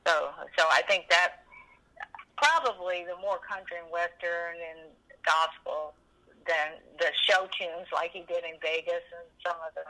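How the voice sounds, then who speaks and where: A woman in her seventies reminiscing as an interview guest, animated and emphatic, often rambling and repeating herself. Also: on the low side, a little slow, rough recording. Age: 70s.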